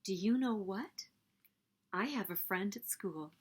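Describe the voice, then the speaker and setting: A woman's voice: mid-range voice, expressive, slow pace, a little hiss or hum. A woman voicing an eager, earnest little schoolboy in a children's storybook, with simple childlike phrasing. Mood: proud.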